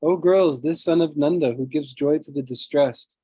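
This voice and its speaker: A man leading a scripture class over a video call, reading translations aloud and often clearing his throat, with a low voice. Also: normal speed, warm tone, no room sound. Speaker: class speaker. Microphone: computer mic on a video call.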